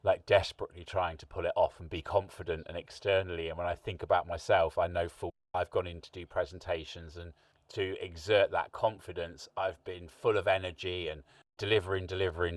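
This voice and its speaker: A man's voice: sits low, steady tone, brisk, no echo. A middle-aged man hosting a podcast, talking in a thoughtful, musing way with long rambling sentences. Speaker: podcast host. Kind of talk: reflective interview chat. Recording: distant mic, dry sound.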